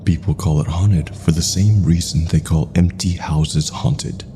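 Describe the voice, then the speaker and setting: A man with a gravelly voice reading a scripted first-person horror story in a measured, brooding manner. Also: deep voice, fairly even intonation, warm tone, a little hiss or hum.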